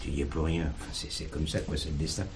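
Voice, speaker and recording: An actor playing a plainspoken farmer in a drama, talking in a colloquial, rambling way. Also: male voice, a bass voice, level tone, fast, very noisy. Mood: plainspoken, hospitable. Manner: colloquial, rambling, punctuated with verbal tags. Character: weary farmer talking about his estranged brother.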